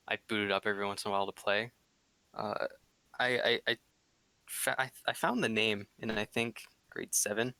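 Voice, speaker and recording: Bright, lowish young man chatting casually. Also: no echo. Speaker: podcast guest. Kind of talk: casual chat.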